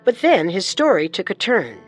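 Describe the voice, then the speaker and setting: A woman's voice: medium pitch, huge pitch swings, normal speed, husky. A woman reading scripted true-crime narration. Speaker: narrator, co-host. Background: music.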